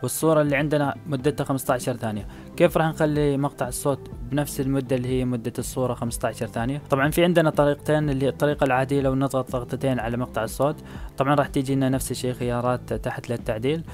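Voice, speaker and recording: Fast-talking man teaching. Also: mid-range voice, fairly even intonation, darkish tone, soft background music. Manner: brisk step-by-step instructions with frequent "aah" fillers. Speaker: video editing tutor. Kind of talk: screen-recorded app tutorial.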